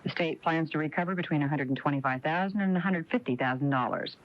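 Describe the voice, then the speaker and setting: A woman's voice: low voice, quick pace, slight background noise. An American woman reading scripted news as a radio reporter. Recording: dull, lo-fi AM radio broadcast.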